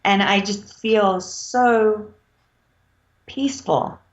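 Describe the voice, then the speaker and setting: A woman's voice: mid-range voice, fairly even intonation, extremely slow. A woman author speaking as an interview guest, reflective and hesitant, with many fillers. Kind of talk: casual interview chat. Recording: slightly dull lo-fi sound.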